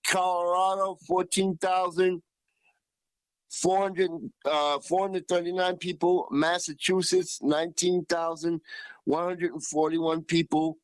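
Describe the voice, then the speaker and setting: A man co-hosting a news show, reporting in a conversational, halting and deliberate way, repeating himself as he reads out figures. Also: high voice, level tone, clear, resonant tone, dry recording, low-quality recording. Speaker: radio news co-host.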